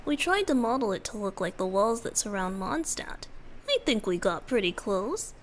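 A woman voicing a youthful male wind-spirit bard, soft, gentle and teasing, often breathy, in a romantic ASMR roleplay. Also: medium pitch, very animated, normal speed, lightly acted. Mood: gentle, playful.

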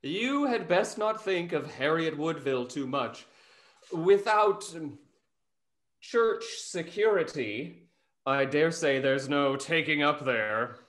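A young man playing a teasing gentleman in a Restoration stage comedy, recorded over a video call. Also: a little high, expressive, takes it slowly. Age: young adult. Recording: roomy video-call sound.

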